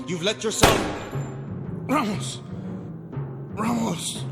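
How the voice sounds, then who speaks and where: An actor playing a proud, earnest revolutionary lieutenant in a 1960s television drama, speaking with emphatic, theatrical insistence. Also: male voice, high-pitched, slow. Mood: urgent pleading. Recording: old TV soundtrack, echoing, loud music behind.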